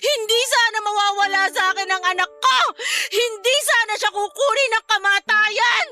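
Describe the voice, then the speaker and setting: A woman playing an anguished, accusing grieving mother in a Filipino radio drama, with melodramatic tearful outbursts. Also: moderate pace. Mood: sobbing, anguished. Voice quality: shrill, tearful.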